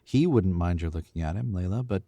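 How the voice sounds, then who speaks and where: A man's voice: low-pitched, brisk, warm tone, no echo. A man playing a smug, mocking young schemer in an old-time radio drama recreation.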